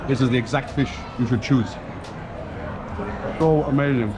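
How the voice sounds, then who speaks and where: A man's voice: medium pitch, normal speed, noisy background. Man tasting food, talking through bites. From Kenya and Switzerland. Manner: enthusiastic, eats while talking, lists flavors. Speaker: travel vlogger. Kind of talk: casual on-camera food tasting.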